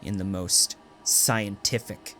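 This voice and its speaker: Man acting a condemned poet prisoner, low voice, slow delivery, anguished, theatrical, dystopian audio drama narration. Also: fairly even intonation, slight background noise. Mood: tense, grim. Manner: theatrical, anguished, swelling from hushed to urgent. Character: condemned male poet prisoner, frightened, brooding, recounting his nightmare. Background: music.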